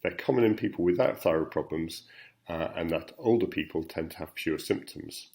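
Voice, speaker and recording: Low-voiced man explaining slides. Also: a little fast, a little mellow. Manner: frequent ums and uhs, plain explanatory pace. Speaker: endocrinology professor. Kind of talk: recorded slide talk for patients.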